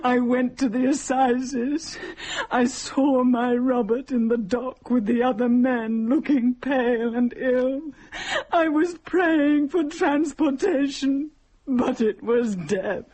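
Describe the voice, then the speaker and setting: A woman's voice: sits high, dark tone, rough recording. A woman playing a starving, bereaved village widow in a radio drama, solemn, bitter and anguished. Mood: desperate. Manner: slow, solemn, grief-laden delivery. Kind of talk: old-time radio drama monologue.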